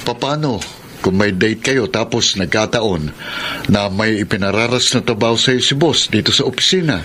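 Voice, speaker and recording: An actor playing a practical office friend who gives cautions, in a Filipino radio drama with a Manila Tagalog accent. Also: male voice, fairly low pitch, moderate pace, bright, forceful tone. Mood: advising, cautioning. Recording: faint noisy ambience.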